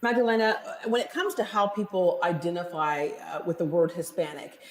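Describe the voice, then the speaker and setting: Brief: middle-aged female TV host, slightly low voice, chatty panel moderator. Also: brisk, slight background noise. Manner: conversational, laughs easily, reads statistics. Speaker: TV talk show host. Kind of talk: hosted panel discussion.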